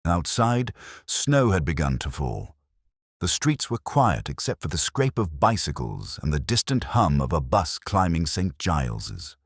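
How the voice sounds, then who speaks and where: A man playing a weary, melancholy middle-aged police inspector, narrating a mystery in somber, reflective, measured literary phrases. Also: rumbling low, big pitch swings, slightly rough, no echo, studio quality, heavily acted. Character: weary, melancholy male English police inspector recounting a case. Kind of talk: scripted first-person literary mystery narration.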